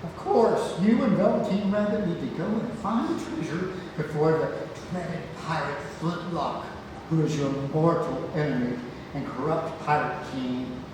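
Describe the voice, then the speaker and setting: Guy acting old grandpa spinning adventure stories in a play reading, higher voice, big echoey noisy room. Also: a little slow, lightly acted. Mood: spinning a tale. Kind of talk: stage play table read. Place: indoor theatre, recorded from afar. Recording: roomy echoing hall, distant mic, noisy.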